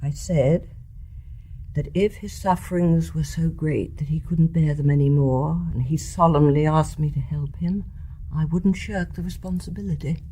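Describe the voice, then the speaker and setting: An actress playing a grave, resolute older British mother in a radio drama, speaking in a measured, refined and deliberate way. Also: low-pitched, mellow, a little hiss or hum, natural voice, rough recording. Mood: grave, reflective. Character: grave, resolute older English mother confessing her deed.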